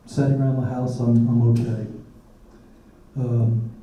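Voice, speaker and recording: A raspy-voiced man in his late sixties speaks to a room, flat and dark in tone, pausing often on um and uh. He is heard from some distance in a very echoey hall. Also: medium pitch. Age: 60s. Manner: halting, pauses with um and uh. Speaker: guest speaker sharing his illness story. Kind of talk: public talk at a community forum. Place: lecture hall. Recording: echoey hall, mic far off.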